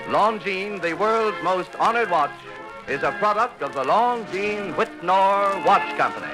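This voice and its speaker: A man's voice: high-pitched, lively intonation, a little slow, music in the background, rough recording. An American man announcing a vintage radio advertisement in a stately, emphatic manner. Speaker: commercial announcer.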